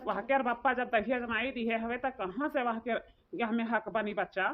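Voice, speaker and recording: A woman's voice: a little high, normal speed, lightly acted. A woman as a nagging village mother-in-law. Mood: favouring, dismissive. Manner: nagging, domineering. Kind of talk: comedy cartoon dialogue in rural dialect. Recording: muffled audio with clear background noise.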